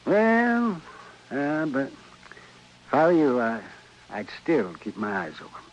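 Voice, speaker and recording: An actor playing a shrewd frontier town doctor in an old radio western, dry and folksy. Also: male voice, mid-range voice, wildly swooping pitch, takes it slowly. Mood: warning. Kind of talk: old-time radio western drama acting. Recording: muffled, noisy old radio recording.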